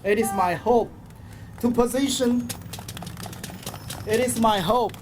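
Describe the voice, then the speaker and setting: A man's voice: very high voice, darkish tone. A man from China with a Chinese accent, giving a solemn, formal prepared speech as an activist at an outdoor rally. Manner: solemn, formal, deliberate phrasing. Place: outdoors in front of a public building. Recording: faint outdoor ambient noise. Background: faint ambience.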